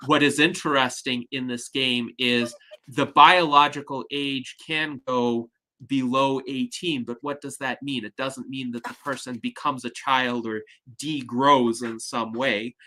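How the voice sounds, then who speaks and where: A man leads an online discussion, his voice bright and forward. He explains ideas in a measured, articulate way over a video call. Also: medium pitch, steady tone, poor audio. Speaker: host.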